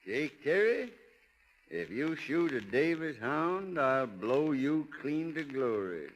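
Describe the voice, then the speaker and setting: An actor playing a defiant, threatening rural hound owner in an old radio drama. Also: male voice, fairly high pitch, big pitch swings, slow. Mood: defiant threat. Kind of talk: 1930s radio acting. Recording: faintly noisy old radio recording.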